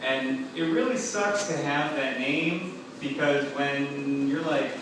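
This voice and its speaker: Rambling, swearing young stand-up comic. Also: male voice, medium pitch, takes it slowly. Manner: rambling, self-mocking, heavy filler words and swearing. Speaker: stand-up comedian. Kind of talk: stand-up comedy set. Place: comedy club stage. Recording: echoey room recorded from a distance with noise.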